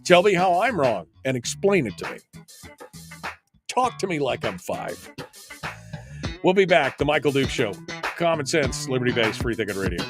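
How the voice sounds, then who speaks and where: A man's voice: medium pitch, big pitch swings, moderate pace, pauses often, music in the background. An American man hosting an opinionated call-in radio show, speaking bluntly and emphatically and repeating points for stress. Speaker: radio talk show host.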